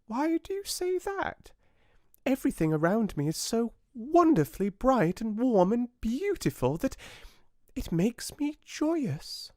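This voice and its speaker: A tiny, joyous storybook mayfly. The voice is pitched far up and swoops about with innocent wonder and eagerness, recorded dry. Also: male voice, big pitch swings, a little slow, a little mellow, dry recording, full character voice. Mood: puzzled, joyous. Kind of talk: storybook character voice.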